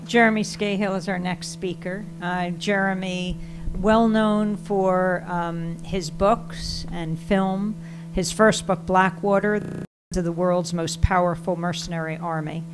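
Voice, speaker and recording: Slow-spoken woman moderator. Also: fairly low pitch, steady tone, over music, rough recording. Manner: unhurried, reads titles with pauses. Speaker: panel moderator. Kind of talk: introducing the next speaker.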